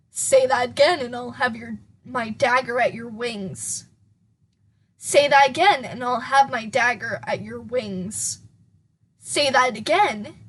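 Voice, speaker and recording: Woman doing angry dragon warrior lady, high voice, scornful n threatening, audio drama. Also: husky, full character voice. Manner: forceful, scornful. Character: hot-tempered, threatening female dragon warrior.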